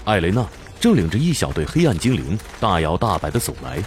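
A man's voice: low-pitched, sing-song, brisk. A man narrating a fantasy battle for a radio drama in a dramatic, scripted storytelling style. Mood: dramatic narration. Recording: noisy sound effects behind.

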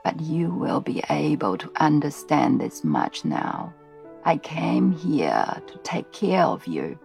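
Ancient calm royal granny, a woman putting her on, slow low gravelly voice, soft music. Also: heavily acted. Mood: serene. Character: very old royal great-great-grandmother, calm, mysterious.

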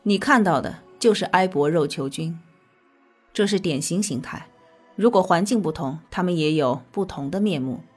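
A woman scientist in a science-fiction audio drama, her voice slightly low. She explains with measured, patient authority and composure while quiet music plays behind her. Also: husky. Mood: instructive. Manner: measured, instructive. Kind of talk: scripted audio drama dialogue.

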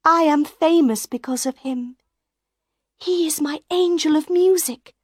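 A woman's voice: high-pitched, measured pace, dry recording, clean studio sound, pitched above the performer's own voice. Woman doing a frightened young opera singer. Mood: fearful. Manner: breathless pleading whispers.

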